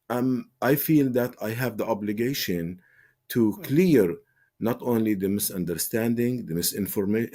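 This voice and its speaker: A man's voice: mid-range voice, warm tone. A man from Palestine, an advocacy spokesman answering in a TV interview, earnest and impassioned with frequent fillers and restarts.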